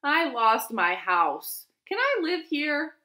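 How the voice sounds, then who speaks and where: A woman's voice: high voice, big pitch swings, slow pace, bright, forceful tone, pitched above the performer's own voice, full character voice. A woman voicing a small, polite, hopeful bat in search of a home in a children's picture book. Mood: hopeful pleading. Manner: pleading, simple and polite. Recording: roomy living-room echo.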